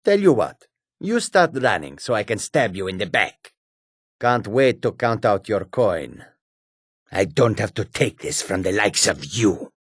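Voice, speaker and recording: An actor playing a sly, menacing, proud humanoid cat warrior in a fantasy video game, with a husky, raspy voice and deliberate delivery. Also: male voice, lowish voice, very animated, no echo, studio quality, full character voice. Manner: slow, deliberate, often speaks of himself in third person.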